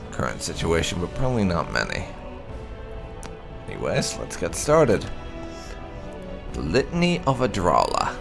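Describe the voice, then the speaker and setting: Male let's-play presenter, slightly low voice, animated intonation, deliberate halting phrasing. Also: vocal fry. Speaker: gaming video host. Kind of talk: casual let's-play commentary.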